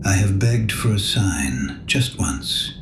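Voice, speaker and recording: Slow, deep-voiced elderly priest. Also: male voice, expressive, music in the background. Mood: weary, devout. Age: older. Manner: measured, grave, gently reproving. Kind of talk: audio drama acting. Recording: echoey room with audible background noise.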